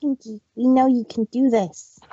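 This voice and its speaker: A man voicing a motherly middle-aged baker mare in a storybook reading, gently encouraging. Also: very high-pitched, steady tone, normal speed, breathy edge, dull audio, pitched above the performer's own voice.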